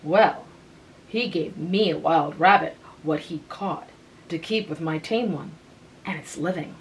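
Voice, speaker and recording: A woman reading aloud, voicing a sturdy, practical fourteen-year-old girl who is brisk and motherly. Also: low-pitched, slight background noise, rough recording. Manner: bright, emphatic and chatty.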